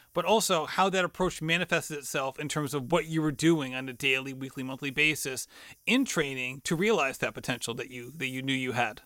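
A man's voice: medium pitch, sharp tone, no room sound, studio quality. A man hosting a podcast, asking enthusiastic, rambling questions full of "like"s. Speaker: podcast host. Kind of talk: casual podcast interview.

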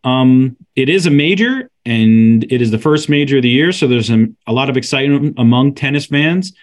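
A man chatting about tennis on a sports podcast in a relaxed, wry way. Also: medium pitch, moderate pace. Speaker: podcast co-host. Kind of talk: casual sports chat.

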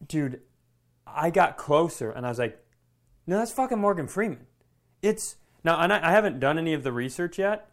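Higher-pitched man telling casual, self-deprecating stories. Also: moderate pace. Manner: animated storytelling with profanity. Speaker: comedy podcast co-host. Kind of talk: casual anecdotal chat.

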